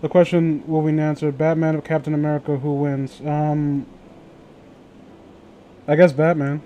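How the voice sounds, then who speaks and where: A man's voice: a little high, little pitch movement, normal speed, dark tone, slight background noise, low-quality recording. A man hosting a casual read-along with friends, joking in a crude, teasing way. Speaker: host reading horror stories aloud with friends.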